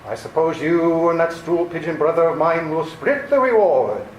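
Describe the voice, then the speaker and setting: A man's voice: a little high, lively intonation, normal speed, close to an everyday voice. A man playing a menacing, vengeful killer in an amateur radio play. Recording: echoey room with faint noise.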